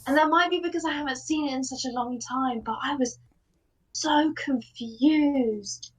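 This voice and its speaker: A woman's voice: sits high, measured pace, low-quality recording. A woman giving emphatic reactions as a commentator in a casual group chat. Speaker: panel commentator.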